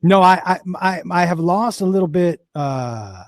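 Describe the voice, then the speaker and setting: A hoarse man in his fifties hosts a laid-back chat over a video call, his voice sitting a bit high and bouncing around a lot in pitch. He trips over his words and restarts often, breaking into laughter, and the sound is close and dry with no room around it. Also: lively intonation, measured pace, no echo. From Western Massachusetts. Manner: stammering restarts, laughs easily. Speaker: host. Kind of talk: casual chat.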